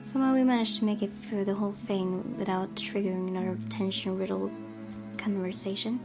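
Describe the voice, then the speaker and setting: A woman's voice: medium pitch, steady tone, a little fast. A woman hosting a let's play, reading a visual novel aloud and adding casual commentary. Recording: game music behind the voice.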